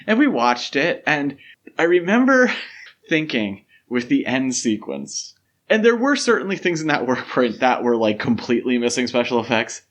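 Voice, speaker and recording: A man's voice: mid-range voice, animated, normal speed, fairly bright tone. Man explaining films on a podcast. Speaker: podcast host. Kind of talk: casual film discussion.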